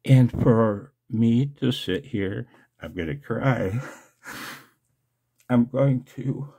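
An older man with a gravelly, husky voice talking casually and haltingly in a personal, emotional, confessional video blog. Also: medium pitch, dark tone. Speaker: amateur radio video blogger. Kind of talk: personal screen-share vlog, casual monologue.

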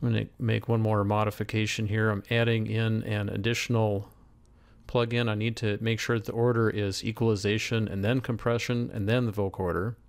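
Male instructor for screen tutorial: methodical, explanatory, gravelly fry, lower register. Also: level tone, fairly quick. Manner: methodical, step by step. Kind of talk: explanatory software demonstration. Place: voice-over screen recording.

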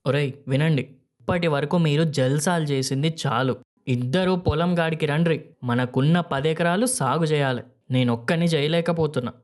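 A man's voice: a little high, normal speed, pitched above the performer's own voice, lightly acted. A man voicing an exasperated older farmer and father in a story, with colloquial Telangana Telugu and a weary, complaining manner. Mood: stern.

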